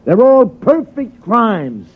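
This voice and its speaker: High-pitched, blustering police sergeant. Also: male voice, very animated, slow, breathy edge, heavily acted. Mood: indignant, demanding. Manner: brusque, slangy, demanding. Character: blustering, skeptical, hard-nosed police sergeant. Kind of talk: old-time radio drama acting. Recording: muffled vintage radio recording.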